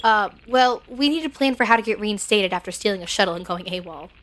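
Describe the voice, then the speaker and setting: A woman's voice: mid-range voice, fast talker, noisy background, natural voice. A woman playing a determined, headstrong young crew leader in a sci-fi audio drama, direct and insistent. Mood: uneasy, planning.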